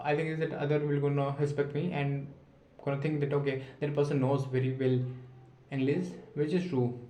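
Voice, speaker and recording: A man's voice: mid-range voice, mellow. Nineteen-year-old man, unscripted self-talk vlog, rambling and self-encouraging, echoey roomy recording. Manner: rambling and self-encouraging, thinking aloud with frequent restarts. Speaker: vlogger practising spoken English.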